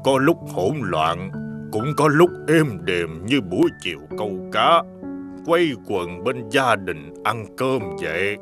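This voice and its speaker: Slow-spoken, musing immortal elder. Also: male voice, on the low side, very animated, over music. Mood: wistful, musing. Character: unhurried, philosophical male immortal elder of the fairy realm. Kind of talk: animated fairy-tale voice acting.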